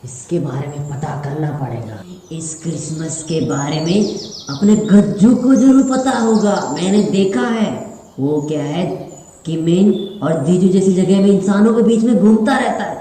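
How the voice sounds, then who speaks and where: A man's voice: high voice, unhurried, warm tone. A man voicing a sleepy, suspicious male tiger in a children's puppet show, blunt and full of questions. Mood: worried. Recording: very echoey room, faint noise.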